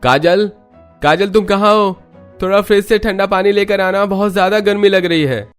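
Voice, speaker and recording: Man voicing a high-pitched cartoon family man. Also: normal speed, close to an everyday voice. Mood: weary. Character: easygoing man of the household, home from office. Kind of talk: Hindi cartoon story dialogue. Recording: music under the voice.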